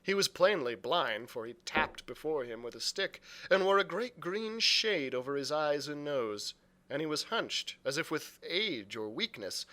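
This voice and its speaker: A man's voice: fairly high pitch, pushed and bright. A man reading a classic adventure story aloud as a youth librarian, in narrated storybook style.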